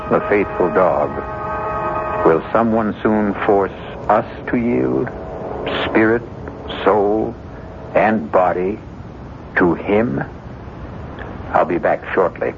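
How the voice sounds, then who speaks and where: A man hosting and narrating a radio drama in a grave, measured, scripted manner. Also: lowish voice. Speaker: host and narrator. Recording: muffled old radio broadcast.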